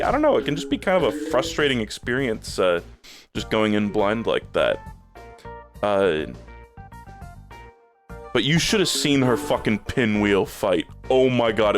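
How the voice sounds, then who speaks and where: Low-voiced, slightly phlegmy male streamer. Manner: rambling, swears casually, lots of fillers. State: a little phlegmy. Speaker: game streamer. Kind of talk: casual gaming stream chat.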